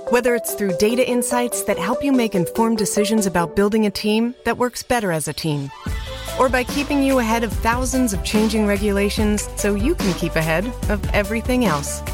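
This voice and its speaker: Higher-pitched woman reading corporate ad, music behind. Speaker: advertisement announcer. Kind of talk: polished scripted corporate ad read.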